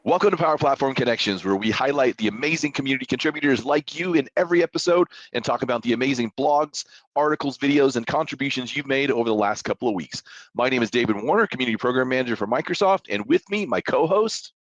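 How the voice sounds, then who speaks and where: A man's voice: medium pitch, lively intonation, quick pace, dry recording. A man hosting an interview show, upbeat and chatty. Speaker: podcast host. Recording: dry, dull lo-fi audio.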